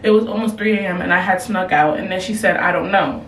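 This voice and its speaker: A woman's voice: fairly low pitch, fast, reverberant, recorded from a distance, slight background noise, rough recording. A young woman talking casually to her camera at home, giving blunt, slangy advice. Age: young adult. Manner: blunt, slangy, animated reactions. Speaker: advice vlogger reading submissions. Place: room at home. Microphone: camera, later phone.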